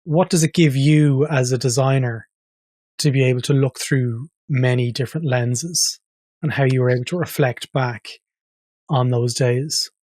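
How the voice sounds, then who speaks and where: A man's voice: medium pitch, fairly even intonation, unhurried, a little mellow, dry recording. An Irish man hosting a podcast interview. He talks in a conversational way and often backs the guest with short replies. His voice sits mid-range, recorded dry and close. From Ireland. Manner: conversational, frequent brief affirmations. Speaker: podcast host.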